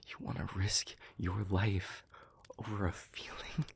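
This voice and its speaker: A man playing an anguished, tender demon in an intimate audio roleplay, with a breathy, husky voice that often drops toward a whisper and a halting, weighted delivery. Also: deep voice, flat delivery, heavily acted. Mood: hurt disbelief. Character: anguished demon pleading with a beloved human, tender.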